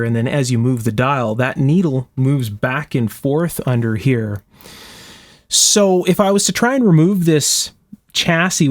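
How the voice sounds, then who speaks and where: A man with a mid-pitched voice talks through hands-on repair work as a demonstration host. He explains each step casually and methodically, thinking aloud as he goes. The sound is dry, with very little room in it. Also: no room sound. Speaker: host of an electronics restoration channel. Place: indoor electronics workshop.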